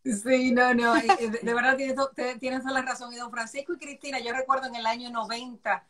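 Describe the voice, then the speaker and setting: A woman hosts a conversational interview and agrees readily, often restarting her sentences. Her voice is a little high. She speaks over a remote video call. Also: little pitch movement, fairly quick, distant mic, crowd noise. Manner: chatty and agreeable, with hesitant restarts. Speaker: host.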